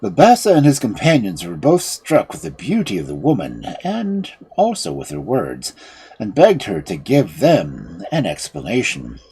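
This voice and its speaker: A storyteller and stream host reading a fairy tale aloud in a theatrical, expressive manner. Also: low-pitched, measured pace, breathy edge, rough recording.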